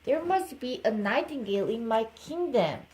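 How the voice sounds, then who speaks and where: A woman's voice: fairly high pitch, expressive, normal speed, a little mellow, pitched above the performer's own voice, natural voice. A woman voicing an imperious, indignant king from a storybook, exclaiming and demanding in a noisy home recording. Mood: angry. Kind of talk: storybook read-aloud for a baby.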